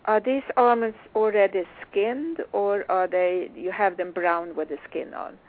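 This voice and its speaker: A woman from Sweden with a Swedish accent, hosting a casual interview in a halting way, repeating words as she goes. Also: medium pitch. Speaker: radio show host. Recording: tinny phone-like radio sound.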